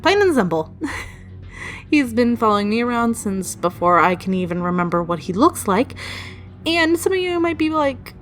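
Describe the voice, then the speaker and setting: Animated, higher-voiced chatty woman storyteller. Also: normal speed. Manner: chatty, rambling, animated with playful emphasis. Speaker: storytelling video creator. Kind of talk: casual personal storytelling. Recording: music behind the voice. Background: background music.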